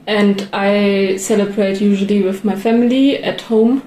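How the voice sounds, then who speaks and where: Young german girl, soft mellow voice, roomy sound with slight noise. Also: mid-range voice. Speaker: interviewed volunteer. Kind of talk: informal interview answers. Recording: echoey room, faint ambient noise.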